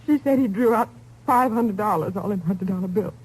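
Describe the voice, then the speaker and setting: An actress playing a grieving, bewildered small-town widow, tearful and halting, with folksy speech, in a 1950s radio drama. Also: female voice, medium pitch, a little mellow, slightly rough, natural voice, rough recording. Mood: shaken, hesitant. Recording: old 1950s radio recording.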